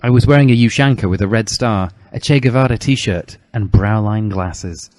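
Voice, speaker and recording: A man's voice: fairly low pitch, normal speed, darkish tone, no room sound. A man narrating a comic dramatic reading of a first-person story.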